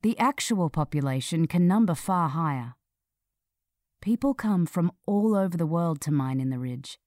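A woman narrating a scripted feature article in a measured, clearly read delivery. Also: on the low side, animated, darkish tone, dry recording, studio quality.

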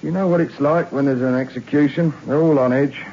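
An actor playing a hard-nosed prison guard, blunt and matter-of-fact, in a 1960s radio drama. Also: male voice, fairly high pitch, fairly even intonation, brisk, warm tone, natural voice. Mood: deferential. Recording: echoey, dull old radio recording.

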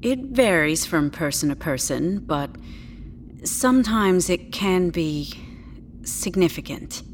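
An actress playing a polite, clinical, tactfully condescending doctor, speaking in a measured, slightly hesitant way in an audio drama. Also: female voice, fairly low pitch, animated, slight background noise. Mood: careful, tactful.

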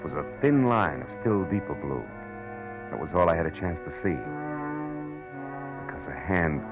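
A man's voice: low-pitched, natural voice, low-quality recording. An actor playing a wry, world-weary private detective, terse and sardonic, telling his case in a 1940s radio drama. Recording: vintage radio drama with music.

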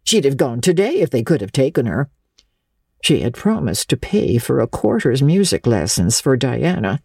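A woman voicing a brisk, bossy older village busybody, knowing and emphatic, in a dramatic classic audiobook reading. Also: sits low, warm tone, dry recording, studio quality, pitched above the performer's own voice, exaggerated voice.

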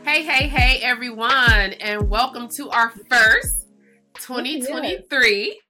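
Stuffy-nosed woman hosting, very bright voice. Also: fairly high pitch, slow, soft background music. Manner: chatty, many fillers and restarts. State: stuffy nose. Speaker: podcast co-host. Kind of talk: casual podcast chat.

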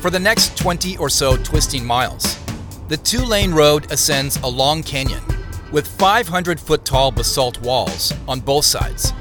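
Guy playing a thoughtful male narrator in an audio drama, measured descriptive storytelling, expressive pitch, music behind him. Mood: reflective. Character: reflective man narrating his own investigation. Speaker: narrator and lead character. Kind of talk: first-person scripted audio drama narration.

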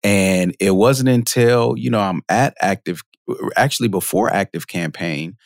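Casting: low, gravelly male guest, animated storyteller, dry close mic. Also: measured pace, no echo. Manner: lively storyteller, jumps high for quoted reactions, laughs often. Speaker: podcast guest, marketing automation expert. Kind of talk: casual interview storytelling.

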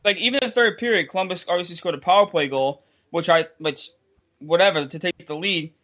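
A man's voice: high-pitched, fairly quick, sharp tone. A young man hosting a casual sports chat, frustrated and incredulous, repeating himself as he complains. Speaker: podcast host.

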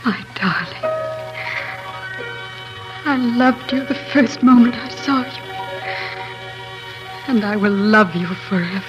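A woman's voice: on the high side, slow, pauses often, lo-fi sound. An actress playing a tender, innocent young fairy-tale queen in 1940s radio drama style. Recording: old radio broadcast over loud music.

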